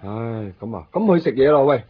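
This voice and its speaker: A man's voice: mid-range voice, sing-song, fast, soft background music, close to an everyday voice. A man playing an eager, chatty junior policeman in a radio drama, with a Hong Kong Cantonese accent. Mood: eager, casual. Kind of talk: 1990s radio drama acting. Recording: dull, band-limited radio recording.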